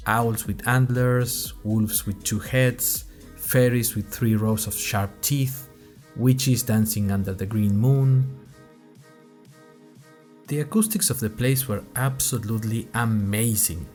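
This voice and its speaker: A man's voice: medium pitch, darkish tone, natural voice. A man playing a wry college freshman who narrates his audio diary in a dry, conversational podcast style with hesitant asides. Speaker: podcast host character.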